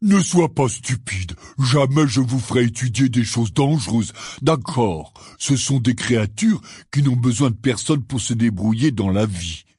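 A man voicing a big, hearty, stubborn but good-natured gamekeeper in a storybook, with a husky, blustery drawl. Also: medium pitch, animated, a little slow, hardly any pauses, a little mellow, dry recording, studio quality. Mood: astonished, dismissive.

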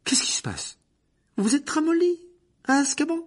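A man voicing an angry, vengeful thirteen-year-old boy who shouts in a strained voice. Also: mid-range voice, lively intonation, quick pace, husky. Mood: furious.

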